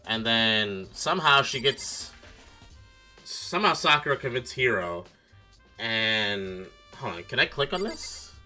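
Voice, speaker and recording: A man's voice: mid-range voice, big pitch swings, measured pace, pushed and bright, faint music behind. A man streaming a game with casual commentary, reasoning aloud in a blunt, speculative way with casual swearing. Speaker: streamer playing a mystery game.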